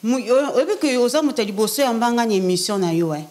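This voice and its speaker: A woman's voice: fairly high pitch, animated, fast, a little mellow. A young woman from France, a prophetess guest in a casual interview, talking emphatically and repeating phrases for stress. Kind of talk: casual interview talk, French mixed with Lingala.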